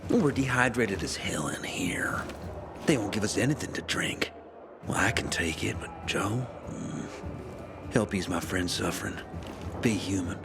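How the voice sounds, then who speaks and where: A jokey scoundrel locked up in a video game. His pitch sits low but leaps around in excited exclamations. He wheedles and spins tales, with music playing behind him. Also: measured pace. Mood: wheedling. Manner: joking, wheedling storyteller, swinging into exclamations. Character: wisecracking male prisoner, fast-talking scoundrel who jokes through misery. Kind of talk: video game cutscene acting.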